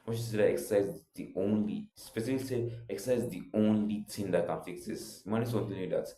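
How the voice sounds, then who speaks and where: A young man tells his own story in a loose, rambling way, leaning on filler words as he goes. His voice sits very low and sounds mellow and soft-edged. The room around him adds a clear echo. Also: fast talker. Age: young adult. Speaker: vlogger. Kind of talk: casual personal storytelling.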